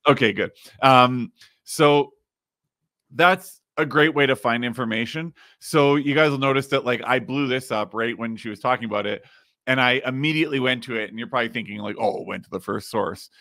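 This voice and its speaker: A man in his thirties, slightly raspy, hosting a casual podcast and explaining things with plenty of fillers, recorded in a home studio. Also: medium pitch, moderate pace, pushed and bright, no room sound. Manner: explains while screen-sharing, many fillers. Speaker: podcast host. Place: home studio with neon sign.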